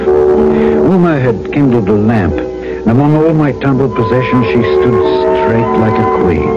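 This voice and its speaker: Vintage radio drama lead: conscience-stricken trader, low register, muffled broadcast sound. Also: male voice. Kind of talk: old-time radio drama, first-person narration and dialogue. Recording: muffled old radio broadcast, music behind.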